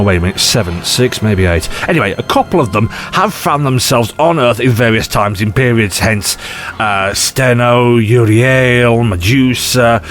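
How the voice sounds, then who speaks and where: Man playing an animated, rambling eccentric adventurer. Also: medium pitch, natural voice. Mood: excited, rambling. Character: eccentric, excitable, rambling male time-travelling adventurer. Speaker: lead actor in an audio drama. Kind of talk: audio drama acting.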